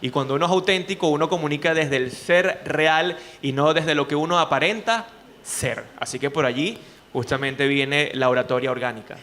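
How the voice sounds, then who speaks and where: A man with a Venezuelan accent, a journalist speaking to students in an animated way, often asking rhetorical questions. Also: fairly high pitch, normal speed. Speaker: journalist and speaking coach, guest. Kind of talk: lively talk to students.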